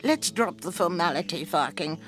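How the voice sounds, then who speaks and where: A woman playing an aristocratic, commanding lady spymaster in a comic radio drama, crisp and deliberate. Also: lowish voice, animated, close to an everyday voice. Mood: commanding, secretive.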